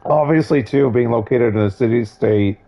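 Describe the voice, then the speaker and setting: A hoarse man losing his voice, giving casual, opinionated, digressive commentary on a video game. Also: mid-range voice, moderate pace, muffled recording. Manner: opinionated, digressive, many restarts.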